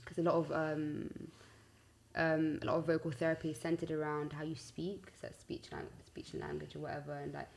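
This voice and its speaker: A young woman with a London accent and a fairly low voice. She talks in a loose, slangy, conversational style, spinning out anecdotes with a giggly, animated manner. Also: brisk, gravelly. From West London, UK. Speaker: singer-songwriter interview guest. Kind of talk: casual chat.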